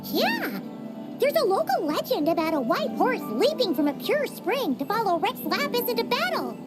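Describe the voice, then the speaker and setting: Casting note: a tiny floating game sidekick, very high, squeaky, and sing-song, cheeky and excitable, with loud music underneath. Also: female voice, normal speed. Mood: excited. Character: tiny chirpy floating female companion creature. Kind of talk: video game voice acting. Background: game soundtrack music.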